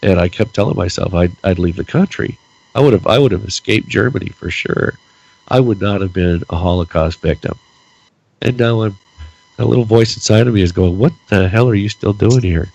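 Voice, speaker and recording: A man's voice: on the low side, fairly quick, vocal fry, a little mellow, dull audio. A man co-hosting a casual political podcast, ranting with lots of repeated words while losing his voice and stuffy-nosed. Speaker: podcast co-host.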